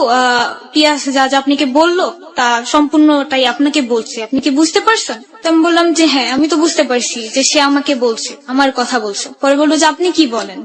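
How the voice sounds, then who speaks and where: A woman with a Bangladeshi accent telling her own story conversationally, re-enacting remembered exchanges as dialogue. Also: sits high.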